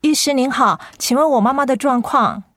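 An actress playing a concerned, attentive grown daughter in a radio drama, polite and earnest. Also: female voice, high voice, normal speed, a bit bright, no echo, studio quality.

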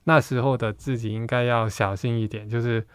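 A man's voice: mid-range voice, steady tone, fairly quick, smooth. A man from Hong Kong with a Hong Kong accent, talking reflectively and casually as a guest in an interview. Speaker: podcast guest, comic artist.

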